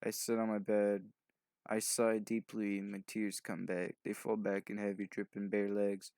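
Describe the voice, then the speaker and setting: A man's voice: on the low side, completely flat delivery, moderate pace, darkish tone, clean tone, dry recording, clean studio sound. A sleep-deprived man hosting a loose, improvised reading aloud, stumbling and skimming through the words with offhand asides. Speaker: video host doing a fanfic reading.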